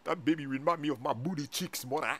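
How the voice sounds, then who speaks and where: A man's voice: on the high side, quick pace, a bit bright. A man voicing a blunt, mischievous and rude male sidekick in a comedy skit, with muttered slangy talk. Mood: mocking.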